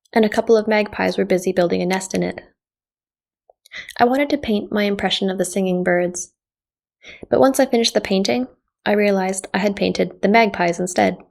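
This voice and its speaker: Creaky-voiced woman reading an artist statement. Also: medium pitch, darkish tone. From Canada. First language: Japanese. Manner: measured, reflective reading. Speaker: visual artist. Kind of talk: scripted artist statement narration.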